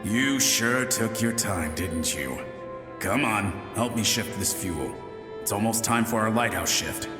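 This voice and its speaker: Game ghost soldier: folksy, teasing storyteller, lively intonation, echoing reverb. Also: male voice, fairly low pitch, unhurried. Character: teasing, chatty male ghost of a lighthouse soldier. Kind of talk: video game voice acting. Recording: long echoing reverb with music behind.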